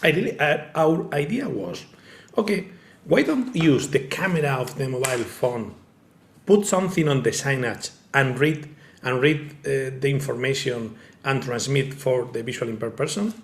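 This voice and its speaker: Spanish-accented male presenter, non-native English, halting delivery with fillers, roomy sound on a video call. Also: medium pitch, animated. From Spain. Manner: halting, fillers like okay and eh, searches for words. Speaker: tech company founder presenting. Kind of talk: online presentation.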